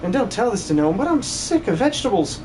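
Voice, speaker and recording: A man's voice: sits high, very animated, quick pace, darkish tone, slight background noise. A man voicing a hungry, complaining farmhand with rustic, colloquial speech in a hobby game dub. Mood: hungry grumbling. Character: famished, whining country farmhand sick of vegetables.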